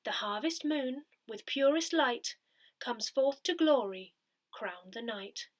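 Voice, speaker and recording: A woman reading a church newsletter aloud in a measured, carefully phrased way. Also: fairly high pitch, expressive. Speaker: volunteer reader of an audio newsletter.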